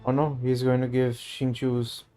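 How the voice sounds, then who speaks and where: A man's voice: medium pitch, little pitch movement, a little slow, dark tone, faint music behind. A man streaming a video game and making jokey side comments. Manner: jokey asides.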